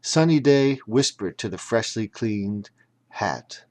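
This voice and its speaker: A man narrating a children's audiobook dramatically, in deliberate, emphatic, broken phrases. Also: on the low side, lively intonation, darkish tone.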